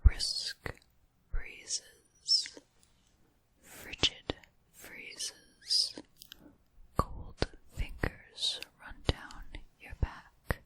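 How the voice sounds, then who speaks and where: A woman voicing a sinister, mock-courteous dark witch for an ASMR roleplay, in a breathy whisper with theatrical, formal wording. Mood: incantatory. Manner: slow, theatrical, formal old-fashioned wording.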